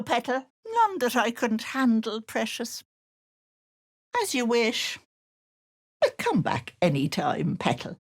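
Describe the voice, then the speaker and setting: Swooping, sly old hag auntie. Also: female voice, a little high, no echo, studio quality, exaggerated voice. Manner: cloying pet names sliding into menace. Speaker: video game villain. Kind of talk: theatrical video game character acting.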